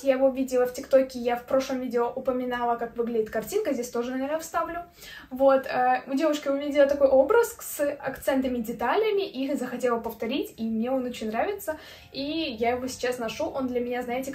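A woman's voice: high voice, steady tone, brisk, a little mellow. A woman in her twenties, a fashion vlogger, chatting casually and upbeat about outfits, recorded indoors by a camera at medium distance. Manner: chatty, upbeat, frequent fillers. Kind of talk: casual outfit try-on chat. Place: indoor room with clothing racks. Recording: roomy echo with faint music.

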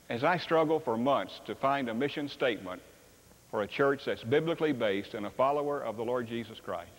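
An older man preaching a sermon on a church stage, animated and acting out dialogue. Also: mid-range voice. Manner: animated, acts out dialogue with sweeping gestures. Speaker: pastor. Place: large church sanctuary stage. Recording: long reverb of a large hall.

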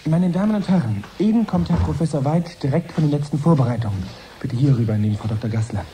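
An actor playing a courteous, formal presenter hosting a live science broadcast in a radio drama. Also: male voice, a little high, expressive, fast talker, mellow, a little hiss or hum, lightly acted. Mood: polite, composed. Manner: polite, formal, orderly.

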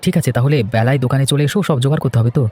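A man's voice: medium pitch, rapid-fire, mellow, some chatter behind, a little hiss or hum, lightly acted. A man playing an earnest, reassuring village husband in a cartoon, talking casually. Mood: friendly, earnest. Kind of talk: cartoon voice acting.

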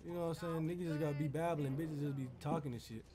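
A man's voice: a little high, fairly even intonation, racing, warm tone. A young man bantering casually in street slang during online game roleplay, often repeating his phrases. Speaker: player in a GTA roleplay server. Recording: background noise on game voice chat.

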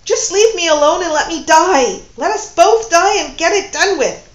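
Betrayed woman, tearful and furious, loud accusing outbursts at a raised pitch, read by a woman narrator, dull roomy recording. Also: big pitch swings, measured pace. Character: distraught, furious woman who feels betrayed.

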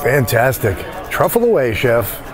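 Man in his fifties, food vlogger, animated pitch, unhurried, music behind. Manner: enthusiastic, jokey commentary while eating. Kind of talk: casual dining vlog. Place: fine-dining restaurant indoors. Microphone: phone at arm's length.

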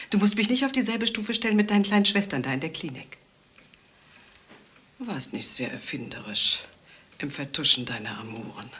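An actress playing a bitter, defiant wife who wants a divorce, scornful and mocking, in a German crime radio drama. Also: female voice, low-pitched, fairly bright tone.

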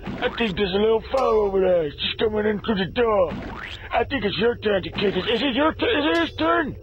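Talking paint pot, extremely high and animated, bossy, scornful, slightly muffled game cartoon sound. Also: male voice, brisk, a little hiss or hum. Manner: goading, scornful. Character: bossy, jeering, foul-mouthed male paint pot. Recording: dull lo-fi game audio.

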